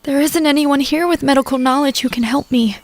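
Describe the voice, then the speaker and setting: A woman's voice: high-pitched, brisk, close to an everyday voice. A woman playing a sensible, put-upon young courtier in a comic stage-style audio drama, matter-of-fact and reproachful. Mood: matter-of-fact, defensive.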